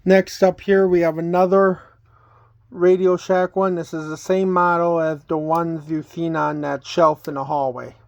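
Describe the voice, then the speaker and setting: Slow-paced man giving casual show-and-tell. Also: on the high side, level tone, vocal fry, highs cut off. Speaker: collector showing weather radios.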